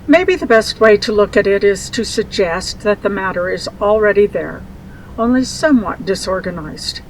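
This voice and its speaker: A woman playing an earnest mage mother in a fantasy audio drama, speaking in a measured, solemn way. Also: medium pitch, expressive, slight background noise, natural voice, poor audio. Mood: explaining. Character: earnest instructing mage mother, gently admonishing.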